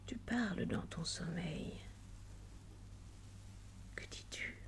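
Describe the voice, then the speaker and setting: A tender, motherly woman played by an actress whispers soothingly over a sleeper in a bedtime roleplay. The delivery is very unhurried, lowish and breathy, with some crackle. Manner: slow, soothing, hushed.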